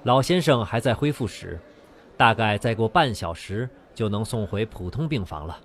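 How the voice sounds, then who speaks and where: A man's voice: fairly low pitch, expressive, normal speed. A man playing a courteous, reassuring surgeon in a radio drama.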